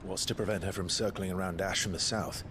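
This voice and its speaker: An actor playing a serious adventurer in a video game cutscene. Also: male voice, low voice, fairly even intonation, a little fast, close to an everyday voice. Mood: questioning. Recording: sea wash and wind behind.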